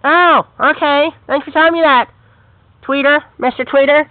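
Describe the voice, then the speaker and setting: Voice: sits high, steady tone, moderate pace, heavy background noise, close to an everyday voice. A performer voicing a timid, lonely cat with a meek, plaintive way of talking, on a tinny phone-like recording.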